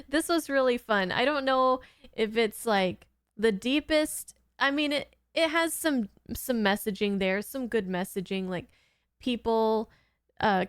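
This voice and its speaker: Giggly lady host chatting about a show, clean smooth voice, slow pace, dry sound. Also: on the high side, no echo. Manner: giggly, rambling, informal. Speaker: host of a reaction channel. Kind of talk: casual reaction commentary.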